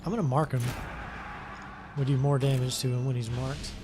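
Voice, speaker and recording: A man's voice: mid-range voice, fairly even intonation, moderate pace. A man hosting a let's play, chatting casually as he plays and thinking aloud with many hesitations. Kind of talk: casual gameplay commentary. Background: game music.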